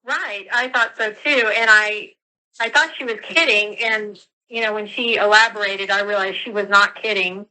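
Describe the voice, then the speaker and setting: A woman's voice: medium pitch, steady tone, normal speed, pushed and bright, smooth. A woman on a phone call, casually recalling things as she gives her account. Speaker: phone interviewee giving a statement. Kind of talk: casual conversational recollection. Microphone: telephone call.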